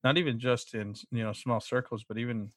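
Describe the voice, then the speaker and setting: Halting man clearing his throat. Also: medium pitch, steady tone, no echo, clean studio sound. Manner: halting, restarts often, frequent throat clearing. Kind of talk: casual chat.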